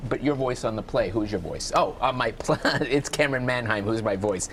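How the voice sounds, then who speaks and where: A man with a deep voice interpreting for a deaf guest, speaking her answers in the first person in a TV studio interview. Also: moderate pace, fairly bright tone, a little hiss or hum. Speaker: sign language interpreter voicing a guest.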